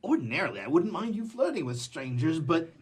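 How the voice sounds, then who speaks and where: An actor playing a bemused, mildly jealous young man in a comic radio play, halting and wry. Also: a little high, sing-song, breathy edge. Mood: wry, put out.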